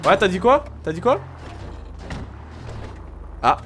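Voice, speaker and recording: Lively high-pitched man gaming. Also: slow pace, a little hiss or hum. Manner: playful, teasing, slangy. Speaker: gamer, video creator. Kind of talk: casual live gameplay trolling chat.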